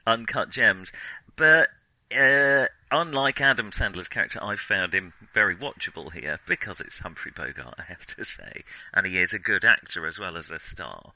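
Bright, animated male podcast host. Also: fairly low pitch, moderate pace, no echo, poor audio. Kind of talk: casual film discussion.

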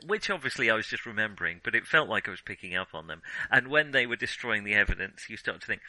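A man's voice: fairly low pitch, animated, fast talker, pushed and bright, dry recording, poor audio. A man co-hosting a film podcast, chatting casually and conversationally, often acting out imagined reactions as he explains. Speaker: film discussion podcast co-host.

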